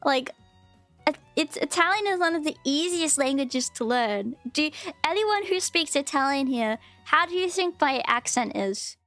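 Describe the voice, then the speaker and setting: A young woman talks loosely to her stream, full of "um"s and "like"s. Her voice sits high. The sound is close and dry, with faint music playing underneath. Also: animated, moderate pace, a bit bright, dry recording. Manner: rambling, filler-heavy, chatty. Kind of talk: casual live-stream chat.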